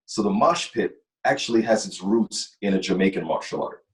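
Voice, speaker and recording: A man who works as a mind-body coach, a guest in a casual interview, explaining things in a relaxed way and repeating words. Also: lowish voice, moderate pace. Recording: echoey from a distance.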